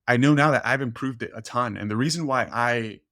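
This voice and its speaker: A man's voice: medium pitch, fairly bright tone, no echo. Guy hosting a podcast, spanish is his first language, says "right?" a lot, dry close mic. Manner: fast, filler-heavy, says "right?" often. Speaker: podcast host, nutrition coach. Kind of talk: casual podcast conversation.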